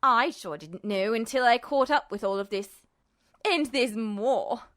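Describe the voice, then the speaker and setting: A woman's voice: a little high, unhurried, fairly bright tone. A woman voicing a bitter, unhinged male villain, smug and sneering, in an audiobook story. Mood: smug menace. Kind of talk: audiobook-style character acting.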